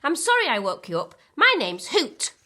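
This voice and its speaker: A woman's voice: high voice, huge pitch swings, moderate pace, pushed and bright, pitched above the performer's own voice, heavily acted. A woman voicing a polite, kindly little female owl in a children's storybook. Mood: apologetic.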